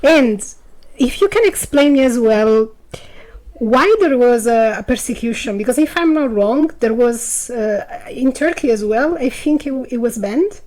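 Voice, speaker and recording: A woman's voice: on the high side, expressive, measured pace, a little mellow, poor audio. A woman co-hosting a radio interview, asking long, curious, rambling questions. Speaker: radio co-host.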